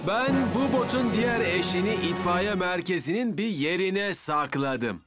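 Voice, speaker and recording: A man voicing a steady, friendly firefighter in a children's cartoon, with music behind. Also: high voice, unhurried. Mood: instructive. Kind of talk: animated children's cartoon dub.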